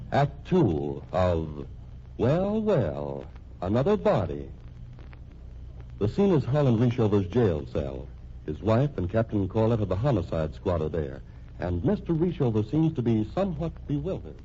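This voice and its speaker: A man's voice: on the low side, sing-song, measured pace, dark tone. A man as a suspenseful announcer, reading scripted narration for an old-time radio mystery. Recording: dull, noisy old radio recording.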